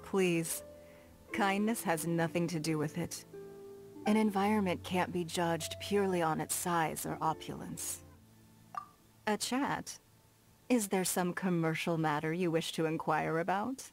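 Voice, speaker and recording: An actress playing a stately, shrewd noblewoman in a video game, formal, measured and self-assured, with prominent S sounds. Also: fairly low pitch. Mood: composed, gracious. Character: poised, stately noblewoman and shrewd business magnate. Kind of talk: video game character dialogue. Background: background music.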